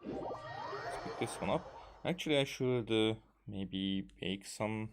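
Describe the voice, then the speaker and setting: A man giving casual gameplay commentary in a hesitant, halting way, as if thinking aloud. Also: lowish voice, lively intonation, background noise. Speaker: gaming video creator. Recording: game music behind the voice. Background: video game music.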